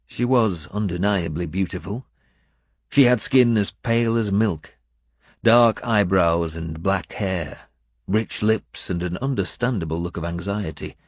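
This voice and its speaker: Deep-voiced male audiobook narrator, close and dry. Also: measured pace, dry recording. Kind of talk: dramatic scripted first-person narration.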